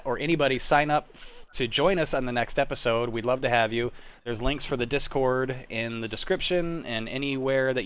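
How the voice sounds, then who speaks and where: A man's voice: mid-range voice, a bit bright, clean tone. A young adult man hosting a livestream, chatting casually in a slangy, rambling way with friends. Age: young adult to 30s. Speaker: livestream host. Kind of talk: casual chat. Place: online group voice call.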